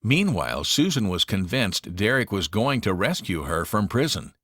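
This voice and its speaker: A man narrating a children's read-along storybook in an expressive, dramatic manner. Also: on the low side, moderate pace, no echo, clean studio sound.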